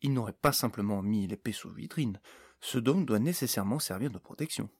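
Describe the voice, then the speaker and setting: A man voicing a composed magician in a fantasy audiobook, formal, measured and wry. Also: fairly low pitch, lively intonation, breathy edge, no echo, clean studio sound. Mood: certain. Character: composed magician, expert in magical objects, courteous, speculative.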